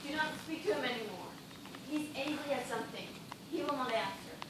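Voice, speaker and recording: A woman's voice: sits high, brisk, natural voice. An actress playing a distraught, feverish female dancer castaway in an amateur stage play, with impassioned theatrical delivery. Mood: subdued, bleak. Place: theatre stage, recorded from audience. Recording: very echoey stage, distant, noisy.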